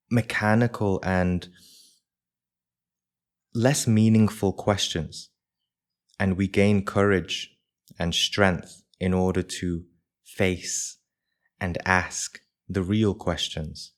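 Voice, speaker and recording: Man, meditation teacher, deep voice, very unhurried, short measured phrases, frequent pauses. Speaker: meditation teacher leading a group. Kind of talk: live guided meditation, spoken instruction.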